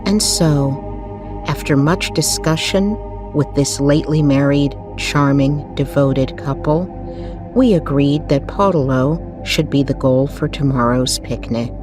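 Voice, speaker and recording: Low-pitched, unhurried woman narrating a story. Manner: soothing, measured, carefully phrased. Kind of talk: scripted bedtime story narration. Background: music.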